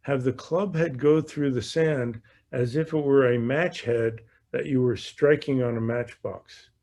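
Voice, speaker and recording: Guy golf coach doing a q&a, chatty teaching, kinda halting, repeats himself. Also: medium pitch, steady tone, mellow. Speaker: golf coach hosting an online Q&A. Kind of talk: conversational instruction.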